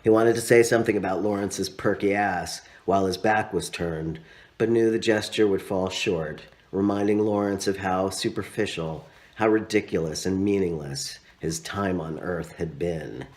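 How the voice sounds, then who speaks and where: A man reading his own literary story aloud as narrator, with expressive, dramatic phrasing and drawn-out words. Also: sits low.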